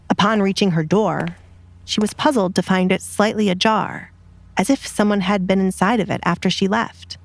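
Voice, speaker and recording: A woman's voice: medium pitch, vocal fry. A woman reading scripted narration for a dramatic serialized story.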